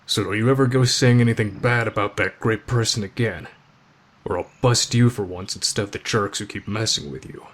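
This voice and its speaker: A man voicing a gruff, stoic teenage tough guy with a soft spot, terse and reassuring, in an anime roleplay. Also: on the low side, a little fast. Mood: gruff reassurance. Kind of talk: anime fan audio roleplay.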